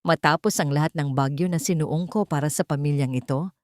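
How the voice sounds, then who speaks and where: A woman's voice: low voice, a little fast, darkish tone, dry recording, clean studio sound. A woman narrating a scripted first-person dramatic story as an audiobook reader.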